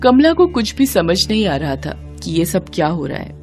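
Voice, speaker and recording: A woman narrating a devotional children's story in a slow, even storytelling manner. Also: on the low side. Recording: music playing behind.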